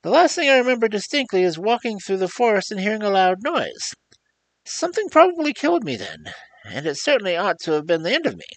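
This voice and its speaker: A squeaky, shrill storybook creature with four great wings, bewildered and gloomy about its patched-together body. Loud and high above a plain speaking voice, with a lively rise and fall. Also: male voice, fast talker, no echo, exaggerated voice, rough recording. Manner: plaintive, wondering.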